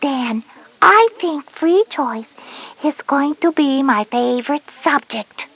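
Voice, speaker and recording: A woman playing a curious, slightly stubborn young kindergarten girl with a breathy, childlike voice in an educational audio story. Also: sits high, expressive, slow pace, fairly bright tone, exaggerated voice, rough recording. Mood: eager, naive.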